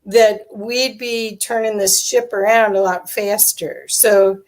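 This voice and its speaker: A woman hosting an interview, asking gentle, unhurried questions over a video call. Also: medium pitch, fairly even intonation. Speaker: host. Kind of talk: conversational interview.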